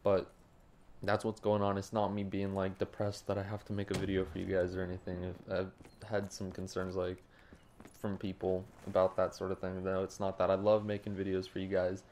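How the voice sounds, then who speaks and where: A young man with a sore throat, his voice hoarse, giving rambling, apologetic voice-over commentary over gameplay. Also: low voice, completely flat delivery, normal speed, darkish tone, a little hiss or hum. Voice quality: hoarse, gravelly. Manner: rambling, apologetic, many ums. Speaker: gaming YouTuber. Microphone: home desk mic with a cough drop.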